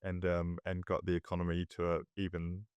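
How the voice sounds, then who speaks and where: Very deep-voiced New man. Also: monotone, a little fast, a little mellow, smooth, no room sound, highs cut off. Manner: conversational, restarts mid-sentence. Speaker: investment commentator. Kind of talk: casual market discussion.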